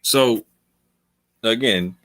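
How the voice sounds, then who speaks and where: A man's voice: mid-range voice, very slow, fairly bright tone, no room sound. A male talk show host giving long, emphatic commentary late in a livestream. He repeats phrases for stress, calls listeners fam, and sounds worn from hours on air.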